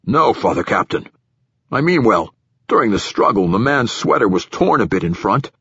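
A man's voice: lowish voice, very animated, normal speed, fairly bright tone, breathy edge, no room sound, heavily acted. A man voicing a flustered, defensive male station director in an audiobook, deferential and hedging with folksy phrasing. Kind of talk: audiobook character dialogue.

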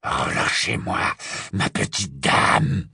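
A man voicing a sly male werewolf with a rasping, gruff voice and slow insolence, in an audiobook story. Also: low-pitched, sharp tone, no echo, clean studio sound, pitched below the performer's own voice, heavily acted. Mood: growling, defiant.

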